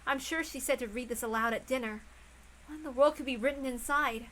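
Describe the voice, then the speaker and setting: Woman acting as an anxious, bright-voiced mother. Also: high-pitched, a little fast, slight background noise, low-quality recording. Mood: anxious, calculating. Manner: tense, hesitant, self-questioning. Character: anxious, secretive adult mother, quick to scold.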